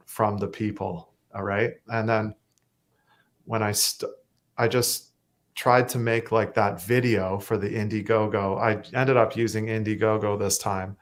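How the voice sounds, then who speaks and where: Low-voiced Canadian man, monotone. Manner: rambling, full of fillers and restarts. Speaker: musician guest. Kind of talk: casual interview chat.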